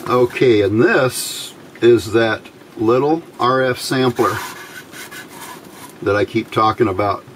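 Middle aged guy explaining stuff at a workbench, really slow and halting, noisy background. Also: medium pitch. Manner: halting, pausing between short phrases. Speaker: electronics hobbyist demonstrating a homemade circuit. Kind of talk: informal hands-on tutorial. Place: indoors at a workbench. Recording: steady clear background noise.

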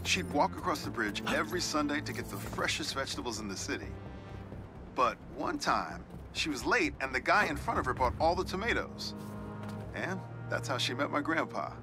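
A middle-aged American newspaperman as acted by a man in a video game, genial and nostalgic. His voice is fairly high and swings expressively as he shares reflective stories, with faint music behind. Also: lively intonation, normal speed, close to an everyday voice, poor audio. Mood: fond. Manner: storytelling, reflective. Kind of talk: game voice acting, conversational monologue.

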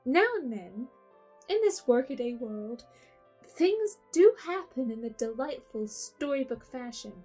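A woman narrating a classic storybook in a measured way. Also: sits high, sing-song, warm tone, husky, faint music behind.

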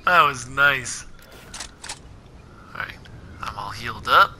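A man commentating casually over gameplay as a channel host, animated and laughing, clearing his throat as he loses his voice. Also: medium pitch, slow pace. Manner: animated, laughing, excited shouts. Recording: game gunfire noise behind.

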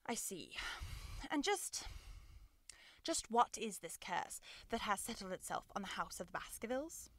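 A woman acts a shrewd, superior detective in a 1930s-style radio drama. The voice is bright and animated, brisk and commanding in manner, with no room sound. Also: mid-range voice, dry recording. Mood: skeptical. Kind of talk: 1930s radio mystery acting.

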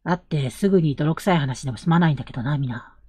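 Protective elder brother, played by a woman: young man, husky low register, measured and earnest, period audio drama. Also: warm tone, no room sound, studio quality. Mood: gentle, serious.

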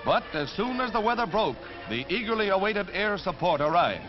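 A man's voice: sits high, lively intonation, moderate pace, over loud music. An American man reading scripted 1940s newsreel narration in a dramatic, formal announcer style. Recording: dull 1945 newsreel film soundtrack.

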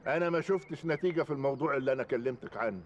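An actor playing a haughty rich landowner with an Egyptian Arabic accent, giving contemptuous orders and threats. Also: male voice, mid-range voice, very fast, a little hiss or hum, natural voice. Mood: imperious. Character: haughty rich landowner man, harsh and domineering. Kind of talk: TV drama acting.

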